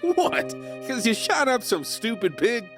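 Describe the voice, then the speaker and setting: A man's voice: very high-pitched, sing-song, unhurried, over music, heavily acted. A man playing a cocky, sly outlaw in a Western audio drama, with a teasing, theatrical manner. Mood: persuasive. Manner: theatrical, teasing, flirtatious swagger. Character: cocky, sly male Western outlaw, smooth-talking schemer.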